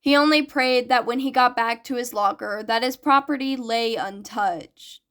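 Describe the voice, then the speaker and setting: A woman's voice: a little high, normal speed, fairly bright tone, smooth. A woman reading a scripted fan fiction story aloud as its narrator. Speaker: podfic narrator.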